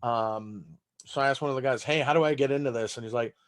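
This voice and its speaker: A man's voice: medium pitch, fast. A man in his thirties from Texas, whose first language is German, talking in a rambling, casual way as a comedian guest on a podcast, swearing freely.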